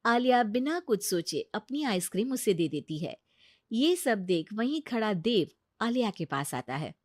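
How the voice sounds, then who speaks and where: A woman's voice: medium pitch, big pitch swings, measured pace, no room sound, studio quality. A woman narrating a scripted story in a dramatic storytelling style.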